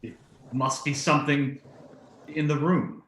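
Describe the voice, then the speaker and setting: A man's voice: fairly high pitch, fairly even intonation, a little slow, a bit bright, echoey room, slight background noise. A man playing a blustering, volatile brother in an old-time radio ghost drama, theatrical and falsely jovial. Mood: startled. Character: blustering, volatile brother hiding guilty dread.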